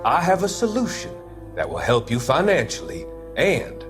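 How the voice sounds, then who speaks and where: An actor playing a calculating doctor in a video game, speaking in a measured, formal, patronizing way. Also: male voice, medium pitch, huge pitch swings, music in the background. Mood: smooth persuasion. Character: smooth, calculating male doctor, formal confidant and schemer. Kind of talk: video game dramatic acting.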